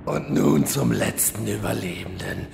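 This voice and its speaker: Super gravelly demon overlord, pompous and gloating, big reverby hall, audio drama villain. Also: male voice, lowish voice, lively intonation, a little slow, a little mellow, faint music behind, heavily acted. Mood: menacing. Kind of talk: parody audio drama acting. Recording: reverberant hall, faint noise.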